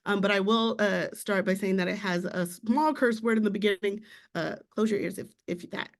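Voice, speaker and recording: Measured academic giving a conference talk. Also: medium pitch. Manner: measured, thoughtful, with frequent fillers. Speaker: academic presenter.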